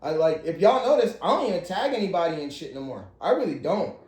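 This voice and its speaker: A man's voice: fairly high pitch, animated, quick pace, darkish tone, roomy sound. A man in his thirties chatting casually as a podcast co-host, in an earnest, slangy, repetitive way. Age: 30s. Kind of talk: casual chat.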